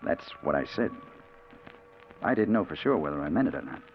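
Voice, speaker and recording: An actor with an American accent playing an intense, infatuated crime reporter in 1940s radio drama style. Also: male voice, a bass voice, big pitch swings, soft background music, heavily acted. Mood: brooding, confessional. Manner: intense, dramatic, halting when agitated. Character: hard-boiled male crime reporter, infatuated and haunted. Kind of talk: 1940s radio drama acting, first-person narration. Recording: muffled, hissy 1940s radio recording.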